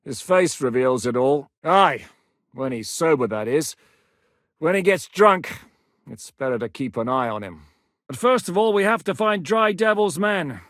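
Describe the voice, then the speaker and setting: A man's voice: a little high, animated, unhurried, fairly bright tone, no echo, studio quality. An actor playing a blunt, battle-hardened male rebel commander in a game, giving orders in a commanding way. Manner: blunt, commanding, plain-spoken. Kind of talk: game voice lines.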